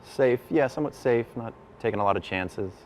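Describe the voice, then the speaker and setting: A man's voice: medium pitch, fairly quick, a little hiss or hum. A man on a judging panel, giving a hesitant critique full of ums. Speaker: judge, visual director.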